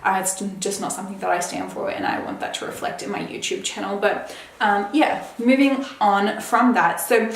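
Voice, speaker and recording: A woman's voice: medium pitch, moderate pace, creaky, roomy sound, slight background noise. A young adult woman casually reviewing a skincare product, chatty, with plenty of fillers. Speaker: beauty vlogger.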